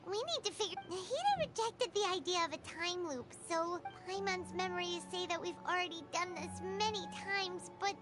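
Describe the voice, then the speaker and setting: A woman's voice: very high voice, normal speed, hardly any pauses, music in the background. A woman voicing a tiny, chatty fairy companion girl in a game, with soundtrack behind. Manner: excitable, chatty.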